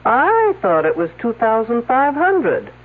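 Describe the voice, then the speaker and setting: An actress playing a sharp-tongued woman detective with a dry, sarcastic way of talking. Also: a little high, very animated, a little slow. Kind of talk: 1970s radio drama acting. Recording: tinny old radio broadcast.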